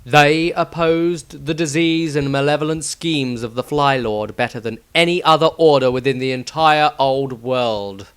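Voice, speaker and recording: A man reading a fantasy story aloud as a solemn, measured narrator in a dramatic scripted style. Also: medium pitch, clear, resonant tone. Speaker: author reading own fiction aloud.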